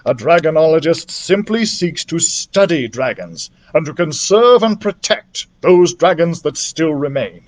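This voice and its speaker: A man voicing a kindly older scholar in a storybook, calm and measured, gently instructing. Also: on the high side. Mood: solemn. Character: kindly, wise older male dragon scholar, calm mentor.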